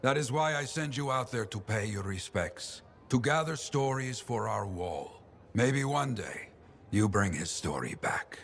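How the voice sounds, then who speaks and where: An actor playing a wistful, courteous male storyteller in a video game, reflective and gently philosophical. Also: medium pitch. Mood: grieving. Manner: expressive, reflective, measured. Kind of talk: video game dialogue acting. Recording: clear ambient noise behind the voice.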